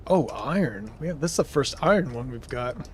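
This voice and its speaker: A man's voice: mid-range voice, animated, a little fast, slight background noise. A man in his thirties streaming a game live, chatting casually in a rambling way and answering viewers' chat as he plays. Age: 30s.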